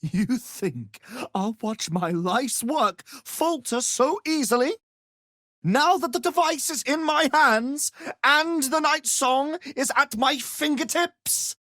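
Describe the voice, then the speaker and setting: Casting: scheming video game wizard, condescending and theatrical, unhurried delivery, very dry close sound. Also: male voice, very high voice, lively intonation, pushed and bright, no echo, exaggerated voice. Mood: anguished fury. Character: haughty, scheming adult male wizard, vain and menacing. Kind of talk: video game fantasy acting.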